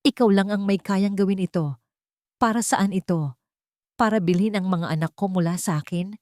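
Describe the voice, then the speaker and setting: A woman's voice: medium pitch, normal speed, darkish tone, dry recording, studio quality, pitched above the performer's own voice, close to an everyday voice. A woman voicing a proud, indignant young mother, accusing and emotional, in a dramatic story. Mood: hurt, accusing.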